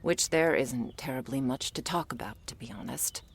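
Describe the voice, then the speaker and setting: A woman playing a gruff, world-weary female monster hunter in an ASMR audio roleplay, curt, sardonic and bossy as she addresses the listener. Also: normal speed, exaggerated voice. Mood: dry, matter-of-fact. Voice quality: low, husky, breathy with gravelly creak.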